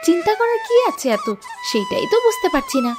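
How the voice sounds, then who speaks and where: A woman voices a patient village wife in a cartoon folk tale. Her voice is extremely high and swings up and down, soothing yet gently chiding. Music runs behind her. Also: huge pitch swings, a little fast, exaggerated voice. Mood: gently exasperated. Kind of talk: Bengali fairy tale cartoon voice.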